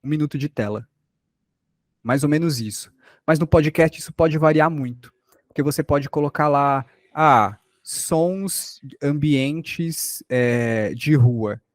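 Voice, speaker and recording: A man's voice: fairly high pitch, slow pace, no room sound. A Brazilian man giving an informal lesson as a workshop instructor, explaining by example with rhetorical questions and check-ins.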